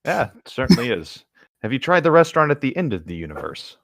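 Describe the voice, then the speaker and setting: Male co-host and amateur reader, middle register, expressive range, wry, informal, dry recording. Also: medium pitch, fast talker, vocal fry, no room sound. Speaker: co-host reading fan fiction aloud. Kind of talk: casual banter and informal story reading.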